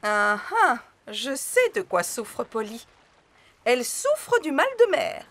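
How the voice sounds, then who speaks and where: Very animated, high hamster doctor. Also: female voice, slow, no room sound, heavily acted. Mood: brisk, caring. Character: brisk, kindly lady hamster vet doctor. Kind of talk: French preschool cartoon dub.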